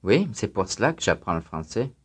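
A man reading scripted student answers and exercise announcements in a language drill, careful and even. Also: fairly low pitch, brisk, breathy edge.

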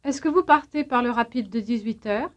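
High-voiced woman reading drill prompts. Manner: clear, measured drill questions. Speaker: language instructor. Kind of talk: scripted language drill prompts. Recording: dull lo-fi language tape.

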